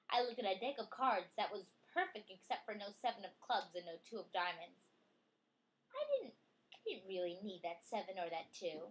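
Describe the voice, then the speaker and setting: Woman reading a storybook to a kid, mid pitch, expressive, asks little coaxing questions as she goes. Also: poor audio. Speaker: adult reading aloud to a child. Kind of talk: picture-book read-aloud with chatty questions.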